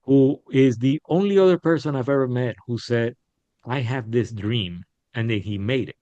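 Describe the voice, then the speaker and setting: A middle-aged man from Puerto Rico, a podcast guest telling stories in a candid, animated way with frequent restarts. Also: medium pitch, normal speed, no room sound. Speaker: podcast guest, business leader. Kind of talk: casual interview storytelling. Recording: dull, lo-fi sound.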